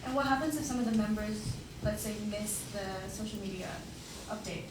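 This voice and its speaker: Fast woman reading questions, noisy hall. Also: mid-range voice. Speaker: interviewer asking candidates questions. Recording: distant mic in an echoey, very noisy room.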